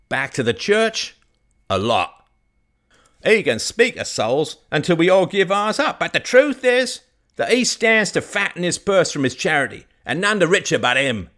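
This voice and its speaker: A man voicing a blunt old rustic farmer who speaks in a folksy, deliberate way. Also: on the high side, a bit bright, pitched above the performer's own voice, full character voice.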